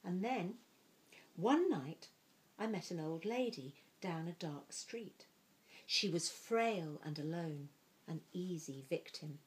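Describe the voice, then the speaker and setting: A woman reading a picture book aloud with expressive, deliberate delivery, letting some phrases fall hushed and breathy. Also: on the low side, low-quality recording.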